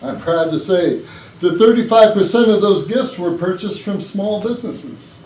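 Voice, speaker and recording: A man playing a genial retiring chief executive, giving a measured, gracious speech in a stage play. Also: on the high side, darkish tone. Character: genial, formal retiring male company CEO. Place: indoor rehearsal room. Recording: roomy rehearsal, distant mic, faint noise.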